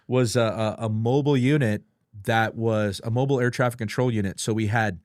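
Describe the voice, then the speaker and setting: A man's voice: mid-range voice, steady tone, fairly quick, a little mellow, no echo, clean studio sound. An older man, a podcast guest, casually reminiscing and explaining details of his service career in an informal way. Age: 50s or older. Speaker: podcast guest, retired air traffic controller. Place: close-miked dry studio.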